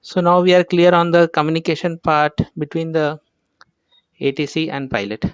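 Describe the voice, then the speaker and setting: A man's voice: a little high, fairly even intonation, moderate pace, smooth, no echo, highs cut off. A man with an Indian accent gives an informal tutorial as an instructor, explaining things step by step and often repeating himself.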